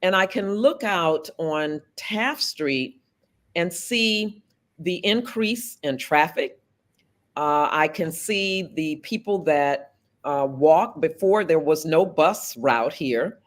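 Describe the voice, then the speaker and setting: A woman in her fifties from South Florida, running for office and answering an interviewer. She speaks earnestly and deliberately, with measured pauses between her thoughts. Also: deep voice, animated. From South Florida, USA. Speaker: political candidate.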